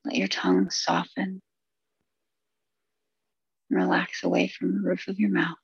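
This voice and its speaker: A woman guides a relaxation session in a husky voice thick with vocal fry. She speaks soothingly in short, unhurried phrases and leaves long pauses between them. The recording is dull and very dry. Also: on the low side, flat delivery, no echo. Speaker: meditation guide.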